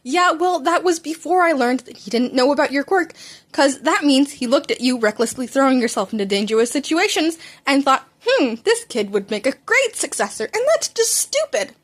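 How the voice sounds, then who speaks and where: A brash teenage boy rival, acted out by a woman reading fan fiction. The voice sits high for all its scorn. He is blunt, bossy and quick to insult. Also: expressive. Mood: scolding. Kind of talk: fan fiction audiobook character voice.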